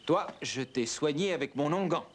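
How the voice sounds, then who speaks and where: An actor playing an earnest, kindly young barber-surgeon in a cartoon, explaining things sincerely. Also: male voice, on the high side, lively intonation, moderate pace. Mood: earnest, reassuring. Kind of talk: animated cartoon dialogue, French dub. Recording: music behind the voice.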